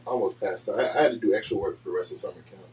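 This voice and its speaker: A man, talking fast and loose on a podcast, with lots of fillers. His voice has a middle pitch and sits a little away from the mic. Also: darkish tone, far from the mic, slight background noise. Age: 30s. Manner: fast, filler-heavy talk with many "like"s. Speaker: podcast co-host. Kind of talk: casual chat.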